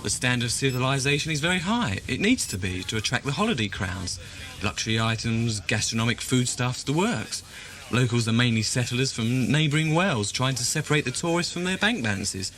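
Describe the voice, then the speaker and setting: A man playing a dutiful, chatty starship officer in a science fiction audio drama, reporting crisply and formally. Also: medium pitch, animated, brisk, hardly any pauses, fairly bright tone, close to an everyday voice. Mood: wry, informative. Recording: music-backed amateur 1980s audio drama. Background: music.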